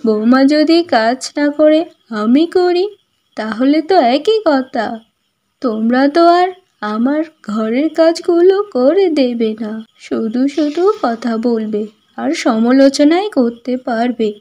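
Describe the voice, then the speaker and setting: An older village mother-in-law, acted by a woman, speaks in a high but softly rounded voice. She is indignant, protective and sharp-tongued, scolding in emphatic cartoon dialogue. The sound is close and dry. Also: expressive, slow pace, dry recording.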